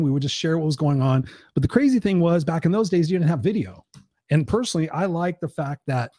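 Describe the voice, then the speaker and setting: Male live-stream host and life coach, hoarse, pitched a bit high, profane and rambling, dry sound. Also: darkish tone, no room sound. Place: home.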